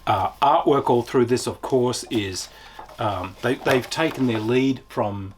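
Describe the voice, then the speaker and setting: A man's voice: mid-range voice, measured pace, noisy background. A man giving a casual, unscripted review as a tabletop game reviewer, enthusiastic and emphatic, drawing out key words.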